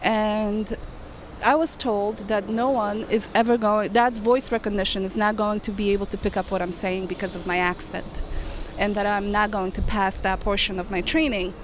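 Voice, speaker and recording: A woman's voice: medium pitch, poor audio. A woman air traffic controller chatting on a livestream, friendly, laughing often and pronouncing every word carefully. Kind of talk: casual livestream chat. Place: outdoors near an airport.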